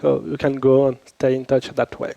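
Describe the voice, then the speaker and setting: A man's voice: medium pitch, level tone, normal speed, creaky, warm tone, no room sound, lo-fi sound. A man with a French accent, based in Switzerland, leading a conference workshop in a chatty, informal way and asking the room questions, slightly under the weather from a cold. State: recovering from a cold. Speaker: workshop instructor. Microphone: handheld or lapel microphone.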